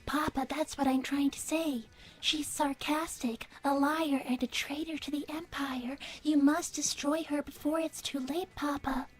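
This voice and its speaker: Solemn child-like AI girl woman acting, high voice, flat formal phrasing, cartoon villain's dutiful daughter in livestream roleplay, faint music behind. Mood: grave, insistent. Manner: flat, measured, formal, earnest. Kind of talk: improvised in-character livestream Q&A.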